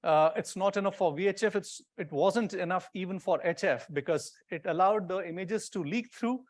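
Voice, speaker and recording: Male conference presenter, notably high voice, explanatory and informal, walking listeners through technical material. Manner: explanatory, informal, pointing things out as he goes. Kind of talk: technical conference talk to an audience.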